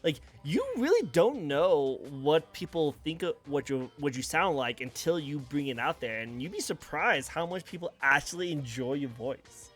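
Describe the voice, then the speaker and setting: A man hosting an interview in a casual, enthusiastic way, with quick interjections. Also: a little high, lively intonation, fairly bright tone, faint music behind. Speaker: interview host.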